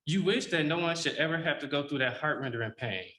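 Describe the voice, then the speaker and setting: Bright-voiced man reading solemnly. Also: a little high, fast, reverberant. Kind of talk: reading a prepared personal account.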